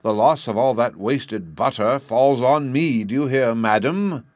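A man's voice: lowish voice, big pitch swings, moderate pace, dry recording. A man voicing a pompous, stingy middle-aged official who blusters. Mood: angry.

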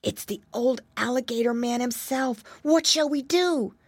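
A woman's voice: on the high side, a little slow, darkish tone, breathy edge, dry recording, pitched above the performer's own voice. A woman voicing a sensible, helpful little boy piglet, speaking earnestly in a children's storybook. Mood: worried.

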